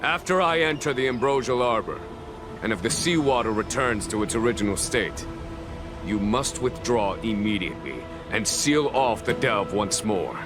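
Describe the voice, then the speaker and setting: A man playing a composed veteran general in a game cutscene, speaking in a measured, formal and authoritative way. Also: lowish voice, lively intonation, music in the background, close to an everyday voice. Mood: commanding. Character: composed veteran male general commanding knights. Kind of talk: video game cutscene acting.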